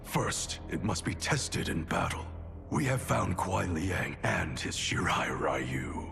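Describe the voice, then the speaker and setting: A man's voice: sing-song, exaggerated voice. An actor playing a stern, ruthless male clan grandmaster who speaks in a cold, commanding way. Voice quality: gravelly, low and measured. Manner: cold, authoritative. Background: cinematic music.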